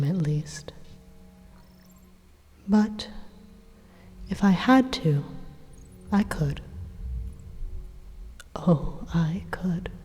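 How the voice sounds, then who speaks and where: An evil supercomputer , acted by a woman, murmurs inside your head in a dark, breathy whisper. It is slow and deliberate, calmly threatening while it coaxes, in ASMR roleplay style. The whisper hangs in a long reverb. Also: low voice, full character voice.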